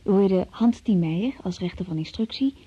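Dark, husky woman radio announcer. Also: mid-range voice, animated, moderate pace. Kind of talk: scripted closing credits announcement. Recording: dull, muffled old radio recording.

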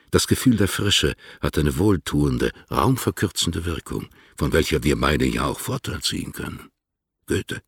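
A low, creaky, husky male voice, recorded dry and close. He plays a stately older poet answering letters in a literary radio play, measured and formal, with gentle irony. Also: no room sound, exaggerated voice. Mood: benevolent, composed.

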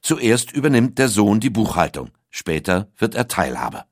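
A man reading a scripted documentary audiobook in a measured, articulate storytelling manner. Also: on the low side, big pitch swings, no room sound, studio quality. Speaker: narrator.

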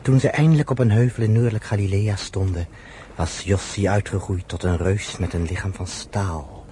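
A man's voice: deep voice, normal speed, warm tone, a little hiss or hum. A man narrating a radio drama in a scripted storytelling style.

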